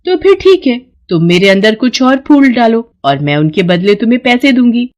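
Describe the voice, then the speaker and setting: A woman's voice: a little high, sing-song, moderate pace, a little mellow. A woman voicing a sly, playful magical cash machine that talks, teasing and theatrical, in a children's moral story. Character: talking magical ATM machine, female, playful, sly and fickle. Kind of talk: animated moral story dialogue.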